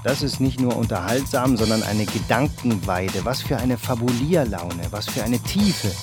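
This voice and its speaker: Theatrical male reader with lively pitch. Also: normal speed, music in the background. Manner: theatrical, swings between loud bursts and hushed lines. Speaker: book reviewer. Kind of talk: expressive reading of a novel excerpt and review.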